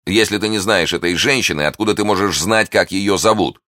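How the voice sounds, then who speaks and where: A man's voice: low voice, fairly quick, sharp tone, dry recording, clean studio sound, pitched above the performer's own voice. A man voicing a stern young guardsman in his twenties who speaks in clipped, commanding lines. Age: 20s. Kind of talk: audiobook character voice.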